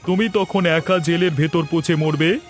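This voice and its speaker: A man's voice: a little high, fairly even intonation, a little slow, soft background music, lightly acted. Man playing a stern, commanding cartoon policeman. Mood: pressing. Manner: authoritative, accusing. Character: stern threatening male police officer, righteous and commanding. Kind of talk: Bengali cartoon dialogue.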